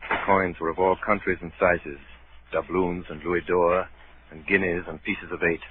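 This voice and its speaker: Radio drama narrator, male actor: low, suspenseful storyteller recalling boyhood, 1930s acting, hissy narrow-band sound. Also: level tone, moderate pace, lightly acted. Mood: grave, suspenseful. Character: grown man recounting his boyhood adventure, grave, suspenseful. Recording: muffled, noisy 1930s radio broadcast.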